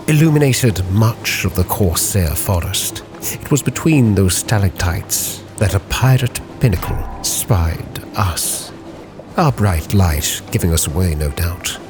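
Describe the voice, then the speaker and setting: Over clear background music, a man with a low voice narrates as a sea captain. His delivery is measured and theatrical, like a literary log being read aloud as dramatic storytelling. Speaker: roleplay storyteller, channel host.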